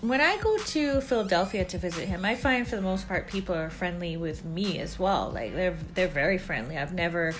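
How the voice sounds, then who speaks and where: Canadian woman, 30s, lower-pitched, talkative co-host who walks through examples. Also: very animated, fairly quick, hardly any pauses, faint music behind. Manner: chatty, explains with examples. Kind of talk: casual chat.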